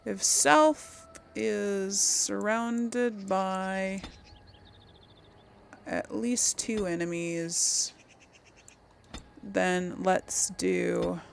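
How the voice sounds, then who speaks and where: Slow, creaky-voiced woman thinking aloud. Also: mid-range voice, a little hiss or hum. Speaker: gamer, series host. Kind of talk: casual game playthrough commentary with role-played dialogue.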